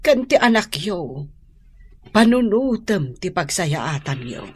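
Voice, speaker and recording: A woman's voice: fairly low pitch, big pitch swings, slow pace, husky, full character voice. A woman with an Ilocano accent playing a worried, exasperated middle-aged mother who pleads and admonishes in a radio drama. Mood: pleading, scolding. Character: worried, exasperated mother scolding her heartbroken grown daughter.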